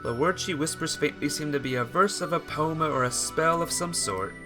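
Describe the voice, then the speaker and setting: A man's voice: on the high side, normal speed, over music. A man streaming a visual novel, switching between casual chat with viewers and reading the story aloud. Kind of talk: casual livestream chat mixed with expressive read-aloud narration.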